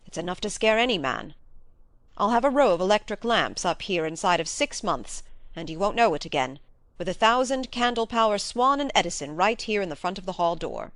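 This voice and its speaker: A woman's voice: mid-range voice, animated, fairly quick, a bit bright, dry recording, lightly acted. A woman voicing an eager young male heir to an ancient manor in an audiobook, earnest and boyish. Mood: uneasy, determined. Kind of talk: audiobook character acting.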